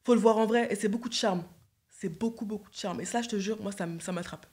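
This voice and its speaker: A woman's voice: mid-range voice, quick pace, a little mellow. A young woman, a guest on a livestream, talking earnestly and insisting she is sincere, into a desk microphone indoors. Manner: earnest, insistent, repeats herself. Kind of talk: casual chat on a livestream. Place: indoor living room set.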